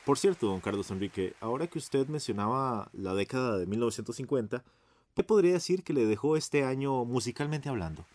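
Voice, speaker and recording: A man's voice: mid-range voice, lively intonation, brisk, a little mellow, lightly acted. A man acting in radio theatre as a courteous, enthusiastic 1950s radio journalist, with a Costa Rican accent and a formal, announcer-like manner. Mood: admiring, courteous. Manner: formal, effusively polite, announcer-like.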